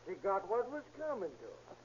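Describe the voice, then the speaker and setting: An actor playing a bitter, defiant murder suspect with sneering, slangy tough talk in a 1930s radio drama. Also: male voice, high voice, huge pitch swings, normal speed, a little hiss or hum. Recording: humming muffled 1930s radio recording.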